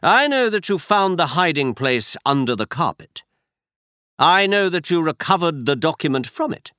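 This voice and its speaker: A man's voice: on the high side, sing-song, normal speed, bright, forceful tone, clear, resonant tone, no echo, clean studio sound, voice pushed up. A man voicing a cool, insistent male detective in a storybook reading, firm and pressing.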